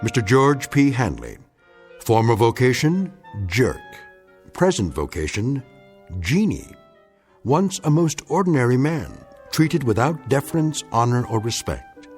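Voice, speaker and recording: A solemn, wry storyteller narrating an eerie radio drama. He is measured and deliberate, with very dramatic rises and falls in pitch over faint music. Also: big pitch swings, darkish tone. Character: solemn, sardonic narrator of an eerie anthology tale.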